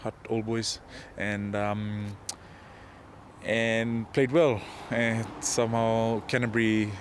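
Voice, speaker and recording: A young man with a Fijian accent and a fairly low voice gives casual interview answers as an athlete. He talks haltingly and modestly, feeling his way through each reply. There is some background noise, like outdoor ambience with traffic. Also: flat delivery. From Fiji. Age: 20s. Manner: hesitant, modest, halting delivery. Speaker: professional rugby player being interviewed.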